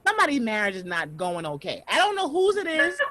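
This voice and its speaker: A woman hosting a comedic chat over a video call from home, telling stories loudly and animatedly. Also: fairly high pitch, quick pace. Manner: animated, loud storytelling. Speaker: host.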